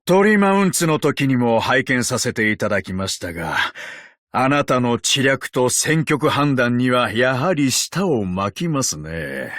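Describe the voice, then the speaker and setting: A man voicing a courteous, ancient tin man agent in a video game, calm and sardonic, with a polite, measured, quietly teasing delivery. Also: mid-range voice, no room sound, clean studio sound. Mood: composed, admiring. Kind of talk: Japanese video game character voice lines.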